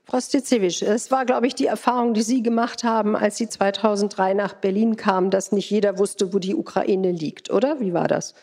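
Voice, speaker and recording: Female panel moderator, medium pitch. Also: fairly even intonation, fairly quick, few pauses, darkish tone. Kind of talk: moderating a discussion, questioning.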